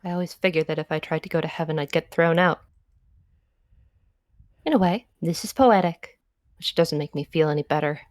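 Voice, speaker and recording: A woman's voice: deep voice, moderate pace, pauses often, darkish tone, no echo, lightly acted. A woman voicing an arrogant, sadistic would-be goddess in a visual novel, haughty and theatrical as she savors her words. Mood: wry. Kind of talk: dramatic visual novel monologue.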